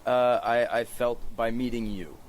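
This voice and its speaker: A man's voice: mid-range voice, steady tone, a little slow. A man in a casual podcast conversation, swearing casually, recorded outdoors on a porch. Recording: background ambience outdoors on a porch.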